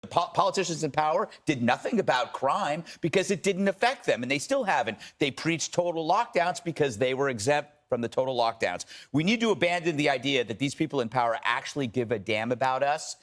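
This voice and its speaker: A man's voice: medium pitch, animated, fairly quick, hardly any pauses, a bit bright. A man delivering a blunt, accusatory TV commentary. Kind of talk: cable news monologue.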